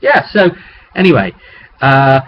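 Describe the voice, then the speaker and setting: A man talking casually as a game master, recapping a tabletop roleplay session in a rambling, animated storytelling way. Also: medium pitch, slow pace. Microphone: webcam microphone. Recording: dull webcam audio with clear background noise.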